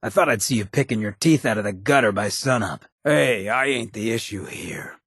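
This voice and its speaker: An actor playing a streetwise, blunt yet loyal ghoul mayor in a video game, with a husky rasp and a slangy drawl. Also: male voice, lowish voice, lively intonation, normal speed, a bit bright, no room sound, clean studio sound, exaggerated voice. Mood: stern, threatening. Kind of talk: video game companion dialogue.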